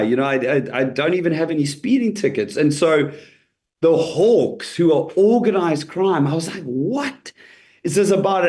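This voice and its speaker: A man's voice: fairly high pitch, darkish tone. A man guesting on an interview as a comedian, telling his story in a chatty way, laughing easily and restarting his sentences often.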